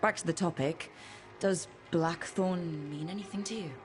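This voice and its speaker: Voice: medium pitch, normal speed, lightly acted. An actor playing an easygoing, wry adventurer in a video game, speaking casually and plainly. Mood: wry, friendly. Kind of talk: video game dialogue acting. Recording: music behind the voice.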